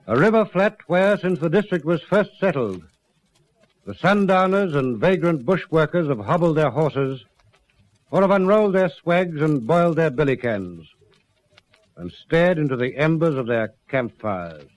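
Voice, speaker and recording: A man narrating a radio drama in a formal, solemn, dramatic announcer style with measured phrasing. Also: a little high, expressive. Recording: muffled vintage radio recording. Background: loud music.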